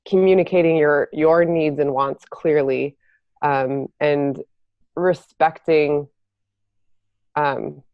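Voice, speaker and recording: Low-voiced woman, dull lo-fi sound. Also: no echo. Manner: thoughtful, many ums. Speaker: podcast co-host. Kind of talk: explaining, casual chat.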